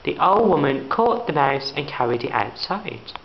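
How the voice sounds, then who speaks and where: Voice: mid-range voice, sing-song, moderate pace, creaky. A young adult student reading a children's story aloud. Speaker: student reader. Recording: echoey, muffled room, faint background noise.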